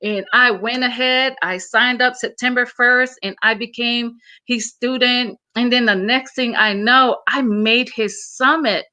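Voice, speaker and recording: A woman's voice: a little high, steady tone, a little slow, hardly any pauses, pushed and bright. A woman from Samoa, whose first language is Samoan, speaking as an interview guest in an earnest, emphatic way, often repeating her words. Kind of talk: casual interview.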